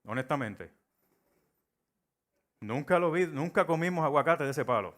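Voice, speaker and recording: A man's voice: a little high, animated, normal speed, fairly bright tone. A middle-aged man from Puerto Rico with a Puerto Rican Spanish accent, preaching a sermon and telling stories, acting out the voices he quotes. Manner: storytelling, acts out quoted voices.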